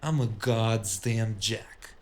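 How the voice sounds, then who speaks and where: A man's voice: on the low side, takes it slowly, darkish tone. A male actor playing a scornful, guarded tough guy who refuses to back down, in a noir audio drama. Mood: defiant threat. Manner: contemptuous, guarded. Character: defiant, scornful male cyberpunk tough cornered at gunpoint. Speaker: voice actor playing the detective's opponent.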